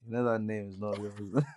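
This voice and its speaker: A man hosting a faith podcast, relaxed and affirming, with frequent murmured agreement. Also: on the low side, a little slow, no room sound. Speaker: podcast host. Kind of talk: casual interview chat. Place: podcast studio.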